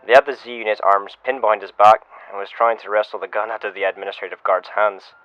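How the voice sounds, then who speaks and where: A man playing a shaken security officer, giving a measured first-person incident report as a scripted audio log. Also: fairly low pitch, steady tone, no echo, close to an everyday voice. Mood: grave, measured. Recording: muffled lo-fi radio-style sound, music behind. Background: music.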